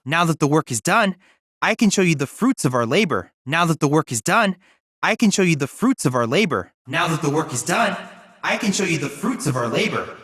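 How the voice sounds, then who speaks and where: Young android boy researcher, video game dialogue, earnest, precise, polite, animated intonation, close dry sound. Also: medium pitch, a little fast, breathy edge, no echo. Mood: pleased, grateful. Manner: formal, precise, courteous, earnest.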